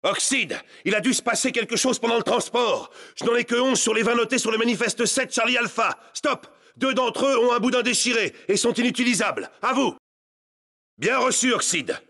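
A man playing a tense soldier in a video game, giving a clipped, forceful radio report. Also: very high voice, normal speed, heavily acted. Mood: businesslike, tense.

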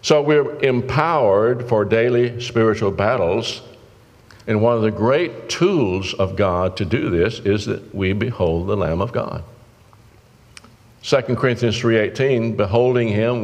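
A man's voice: on the low side, a little slow, darkish tone. An older man preaching a sermon from a church pulpit, in an earnest, folksy way. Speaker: pastor. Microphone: pulpit microphone. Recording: long church-hall reverb.

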